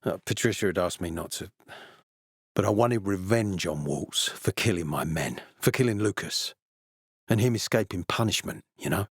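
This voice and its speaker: A weary gruff military officer in a game, deep-ish voice, measured, authoritative, really dry recording. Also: male voice, lively intonation, no room sound. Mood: weary, regretful. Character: gruff, weary military officer, commanding, later a regretful father. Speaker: game character voice actor. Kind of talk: video game voice lines.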